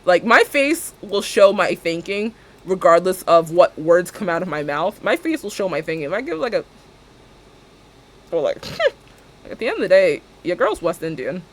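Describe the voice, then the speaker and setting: A young woman with a deep alto voice, chatting casually and rambling as she streams a building game, laughing often and talking to her viewers. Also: lively intonation, moderate pace.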